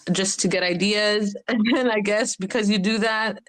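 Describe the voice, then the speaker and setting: A woman's voice: medium pitch, steady tone, normal speed, a bit bright, low-quality recording. A woman in her late thirties co-hosting a podcast, chatting in an easygoing, agreeable way. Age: 30s. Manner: easygoing, agreeable, laughs along. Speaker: podcast co-host. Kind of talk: casual chat.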